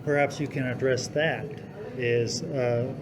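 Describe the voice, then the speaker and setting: A male podcast host speaks slowly and deliberately in a medium-pitched voice. He is reflective and measured, with people murmuring in the background. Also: steady tone, a crowd in the background. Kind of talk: measured conversational interview.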